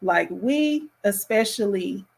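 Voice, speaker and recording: A woman gives casual, chatty commentary as a reaction host. She talks slowly with halting phrasing, landing words with appalled emphasis. Her pitch sits in a middle range. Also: lively intonation, vocal fry, husky.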